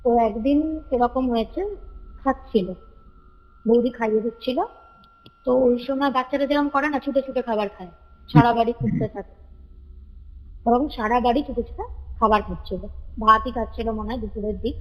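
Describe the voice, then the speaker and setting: A woman's voice: on the high side, steady tone, brisk, pauses often. A woman casually telling a story as a caller, in a conversational way, circling back to repeat phrases. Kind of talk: casual spoken storytelling of a family ghost story. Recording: reverberant call recording with music behind. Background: background music.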